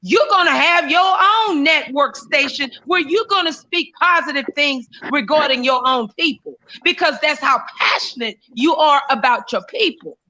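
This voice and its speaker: A woman's voice: high-pitched, normal speed, hardly any pauses, sharp tone, poor audio. A woman co-hosting a casual opinion talk show, speaking emphatically with frequent restarts. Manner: emphatic, many fillers and restarts.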